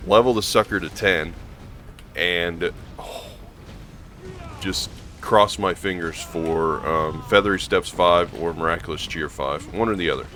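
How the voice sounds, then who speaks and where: A hoarse man with a gravelly voice, rambling casually through gameplay commentary with lots of ums and restarts. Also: low-pitched, unhurried, pushed and bright. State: hoarse from allergies. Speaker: gaming channel host.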